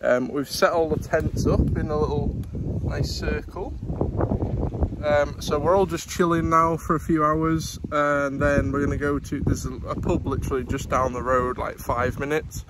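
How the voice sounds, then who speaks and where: A man's voice: a little high, steady tone, moderate pace. A young man chatting casually to a handheld phone camera outdoors, giving a running commentary on a camping road trip with lots of fillers. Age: young adult. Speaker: vlogger. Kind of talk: casual travel vlog. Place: outdoors on a windy coast and campsite. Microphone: phone held at arm's length. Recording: loud wind buffeting the mic. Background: loud wind noise.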